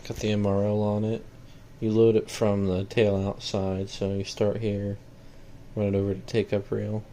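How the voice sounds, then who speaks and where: Low, monotone man with a gravelly edge. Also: moderate pace, a little hiss or hum. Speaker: seller demonstrating equipment. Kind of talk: casual product walkthrough.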